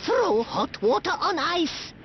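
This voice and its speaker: A woman's voice: extremely high pitch, sing-song, slow pace, dull audio, a little hiss or hum, heavily acted. A woman voicing a small, cute, plucky furry creature in a video game, with childlike broken speech. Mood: eager, plaintive. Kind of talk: video game cutscene acting.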